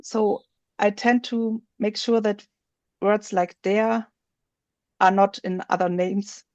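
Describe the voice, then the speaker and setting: A woman presenting on a video call, slowly walking through a software feature while she shows her screen. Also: medium pitch, steady tone, no room sound. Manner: slow, explanatory, thinks aloud while showing her screen. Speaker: webinar presenter.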